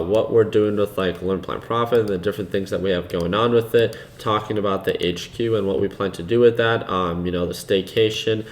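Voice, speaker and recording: Man, deep voice, soothing, relaxed live-stream talk, easygoing with fillers. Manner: relaxed and unhurried, laughs easily, many fillers. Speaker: host of a live trading stream. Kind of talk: casual live-stream commentary, reading chat.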